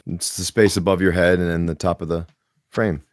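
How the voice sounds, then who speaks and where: A man with a moderately deep voice, a guest voice actor chatting casually on a podcast in a self-deprecating, joking way. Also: little pitch movement, a little fast, no echo, studio quality. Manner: self-deprecating, joking, with frequent restarts and fillers.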